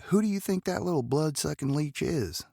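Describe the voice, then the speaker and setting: A man playing a protective, smitten, folksy romantic lead in an audio drama, earnest and halting, with a gravelly voice. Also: fairly high pitch, animated, darkish tone, no echo, clean studio sound. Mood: admiring.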